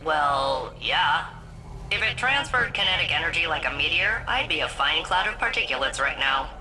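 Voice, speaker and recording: A woman voicing a moody, sarcastic game ship computer in a deadpan, casual, world-weary manner. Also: deep voice, normal speed, heavily acted, rough recording. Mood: dry, deadpan. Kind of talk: video game character dialogue. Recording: loud music behind the voice.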